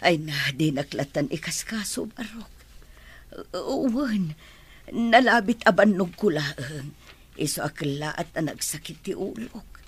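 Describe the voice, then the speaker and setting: An actress playing a meek, overworked housemaid who speaks softly and tearfully, with an Ilocano accent. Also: female voice, fairly low pitch, expressive, takes it slowly, breathy edge, exaggerated voice. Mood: tired. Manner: soft, tearful, submissive.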